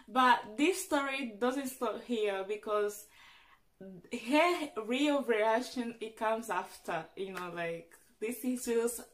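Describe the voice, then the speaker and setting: A woman's voice: a little high, animated. Earnest young woman, Portuguese native speaker. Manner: earnest, halting, with frequent restarts. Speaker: vlogger. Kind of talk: personal storytelling vlog.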